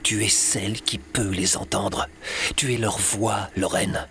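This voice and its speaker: A man's voice: lowish voice, fairly even intonation, a little slow, gravelly, a bit bright, slight background noise. A man playing a devoted, protective husband in an audio drama, speaking in an intimate, earnest and reassuring way. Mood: tender, reassuring.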